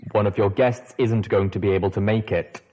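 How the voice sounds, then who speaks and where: A man doing a flat mechanical robot speaker. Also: fairly low pitch, brisk, lightly acted. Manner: mechanical monotone. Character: male helper speaking through a sprite robot.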